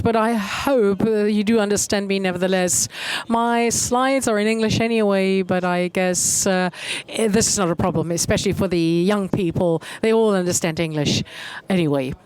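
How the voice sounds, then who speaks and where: A woman interpreting a conference keynote, measured and pausing often with hesitation fillers. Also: medium pitch, smooth, rough recording.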